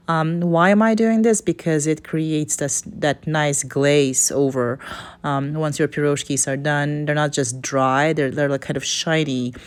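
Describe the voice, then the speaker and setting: Low-voiced woman with a Kazakh accent. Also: clear, resonant tone. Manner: hesitant, unscripted, stopping and restarting. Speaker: home cook presenting a recipe. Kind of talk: casual cooking video voice-over.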